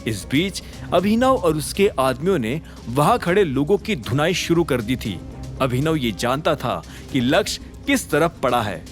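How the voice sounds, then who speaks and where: Guy narrating a suspense story, very lively swings, slightly high voice, soft music behind. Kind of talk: scripted dramatic story narration. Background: background music.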